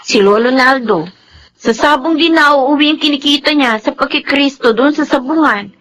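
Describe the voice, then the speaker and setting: A woman's voice: high-pitched, normal speed, a bit bright, a little hiss or hum, poor audio. A woman playing an earnest young granddaughter who cares anxiously for her grandmother in a melodrama. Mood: confiding. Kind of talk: Tagalog radio-style melodrama acting.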